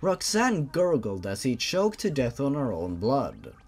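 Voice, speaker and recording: Guy reading a horror story out loud, dramatic, very animated pitch. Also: unhurried, slightly rough. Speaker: host reading a fan horror story aloud.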